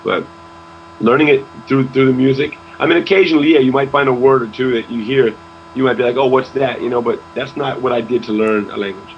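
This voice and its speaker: A young man talks casually in an interview, often stumbling over and repeating his words. His voice is mid-pitched. The sound is dull and lo-fi, with a faint hum underneath. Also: fairly even intonation, a little fast. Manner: stammering restarts, says "you know" often. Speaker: interview guest, rapper.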